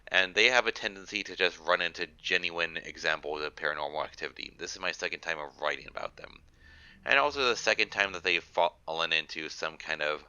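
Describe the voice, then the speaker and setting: Deep voiced guy w a stuffy nose, reading and explaining his writing, really sharp tone, dull lofi mic. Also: clear, resonant tone, no room sound. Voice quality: congested, nasal. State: congested with a cold. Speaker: guest author reading and explaining their fiction. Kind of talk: reading aloud and casual explanation.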